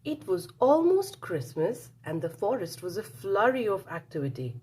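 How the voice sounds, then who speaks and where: A woman's voice: medium pitch, big pitch swings, moderate pace, a little mellow, breathy edge. A woman reading a children's storybook aloud expressively, engaging young listeners with questions and a dramatic telling.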